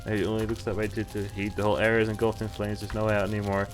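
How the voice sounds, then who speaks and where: A man's voice: lowish voice, little pitch movement, racing. A man streaming a game, reading visual novel text aloud and commenting in a casual, sweary way. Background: faint game music.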